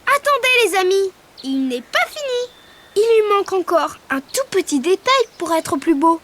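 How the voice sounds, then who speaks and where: A woman voicing a cheerful young male cartoon bird who patiently guides children through drawing, over loud music. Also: extremely high pitch, very animated, normal speed, background noise. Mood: upbeat. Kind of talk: preschool cartoon voice acting. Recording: loud background music track.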